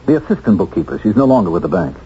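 A determined, polite wronged man in a seventies radio drama. He is earnest and probing, with lively movement in a lowish voice. The sound is dull, with a crowd behind. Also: racing, slight background noise. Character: determined, polite wronged ex-convict investigating his framing. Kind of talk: 1970s radio drama acting.

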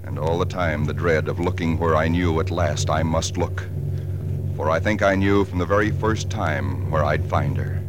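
An actor playing a haunted, secretive husband who narrates a horror in halting, dramatic 1940s radio style. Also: male voice, low voice, close to an everyday voice. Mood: haunted dread. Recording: 1940s radio broadcast recording, loud music behind.

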